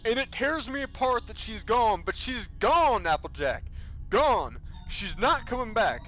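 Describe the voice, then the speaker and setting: Man acting a high-strung farm stallion. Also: sing-song, measured pace, a bit bright, faint music behind, exaggerated voice. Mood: anguished, pleading. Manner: emphatic, pleading, rural phrasing. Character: agitated young male farm pony, protective big brother. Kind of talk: dramatised audiobook character voice.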